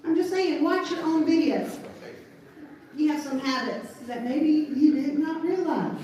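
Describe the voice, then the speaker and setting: A woman with a deep Southern accent giving a chatty, animated talk at a live event. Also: measured pace, a little hiss or hum. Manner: chatty and animated, laughs at herself. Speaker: event speaker. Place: large event hall. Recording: echoey hall, distant mic.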